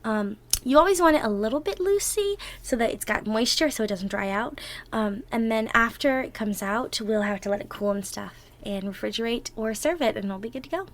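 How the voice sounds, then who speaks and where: Chatty, higher-voiced affectionate girlfriend, acted by a woman. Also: expressive, fairly quick. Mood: chatty, instructive. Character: affectionate, excitable girlfriend teaching baking at home. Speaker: audio roleplay performer. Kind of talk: ASMR girlfriend roleplay.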